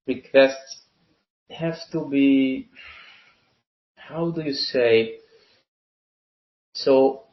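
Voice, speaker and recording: A man of twenty-one from Hungary with a Hungarian accent, giving deliberate, halting explanations as an interview guest and repeating phrases. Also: medium pitch. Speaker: interview guest, university student. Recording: roomy, muffled lo-fi call-like audio.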